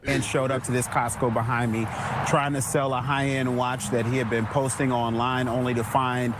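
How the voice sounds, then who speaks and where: A man's voice: mid-range voice, level tone, moderate pace. A man reporting live outdoors by a store, with a chatty newscast delivery. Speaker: TV field reporter. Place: outdoors by a store parking lot. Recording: outdoor street noise with traffic.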